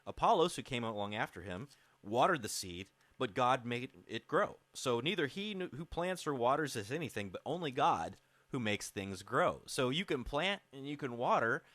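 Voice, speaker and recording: A man's voice: medium pitch, sing-song, normal speed, fairly bright tone, no room sound. A man hosting a radio show, talking in a casual, conversational and reflective way.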